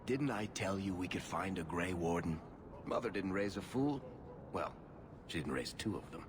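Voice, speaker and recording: Low-voiced, teasing dwarf rogue. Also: male voice, expressive, moderate pace. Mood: smug. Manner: wry, teasing. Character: teasing, smug male dwarf rogue, younger-brother wit. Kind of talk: video game voice acting. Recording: noticeable ambient background noise.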